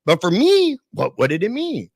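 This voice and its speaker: A man's voice: high voice, huge pitch swings, moderate pace, no room sound, studio quality. A man telling a story casually and animatedly, with slangy, emphatic phrasing and frequent repetition. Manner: slangy, emphatic, repeats phrases, says "bro" and "dude". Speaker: podcast storyteller.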